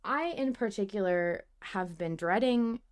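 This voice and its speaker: A woman hosting a podcast, chatting casually and emphatically, with informal, animated delivery. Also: mid-range voice, a little slow. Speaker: podcast host. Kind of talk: casual fan podcast chat.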